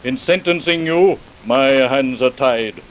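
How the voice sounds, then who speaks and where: A man playing a stern, solemn judge in an old-time radio drama, formal and measured. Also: medium pitch, slight background noise, close to an everyday voice, rough recording. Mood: stern, grave.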